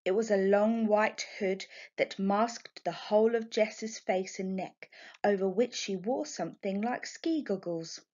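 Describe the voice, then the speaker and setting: A woman reading a children's book aloud like a primary teacher, in expressive, deliberate narration. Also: medium pitch. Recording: dull, lo-fi home recording.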